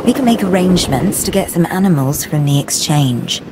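An actress playing a composed, practical park adviser in a video game, measured and businesslike. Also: female voice, fairly low pitch, lively intonation, background noise, natural voice.

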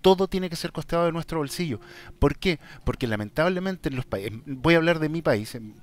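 A man with a Chilean accent hosting a casual vlog, talking to viewers in a direct, emphatic and somewhat halting way. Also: fairly high pitch, lively intonation. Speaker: YouTube channel host. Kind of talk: casual vlog talk, answering viewer questions.

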